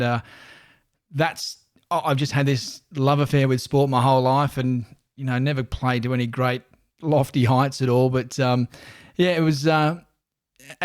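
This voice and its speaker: A man's voice: medium pitch, a little mellow. Chatty Australian man in his forties. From Newcastle, Australia. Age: 40s. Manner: friendly, rambling with many restarts. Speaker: guest, stadium announcer. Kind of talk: casual podcast interview.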